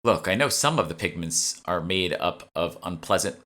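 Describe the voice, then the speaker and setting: A man's voice: low-pitched, expressive, normal speed, pushed and bright. A man voicing a polite, earnest, easily flustered woman curator in an audiobook. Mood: flustered concern. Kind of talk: audiobook character dialogue.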